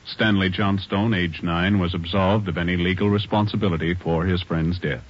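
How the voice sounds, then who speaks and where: Deep-voiced solemn radio announcer man. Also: steady tone, moderate pace. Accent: American. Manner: solemn, official. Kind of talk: formal 1940s radio scripted narration. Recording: muffled old radio recording with music.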